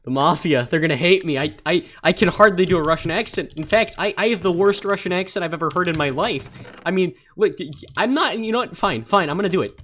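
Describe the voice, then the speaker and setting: Male gamer, casual storyteller, stumbling delivery, bright voice at a desktop mic. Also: sits high, slight background noise. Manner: rambling, stumbling over words, quick to laugh. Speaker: gaming channel creator. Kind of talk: casual storytelling over gameplay.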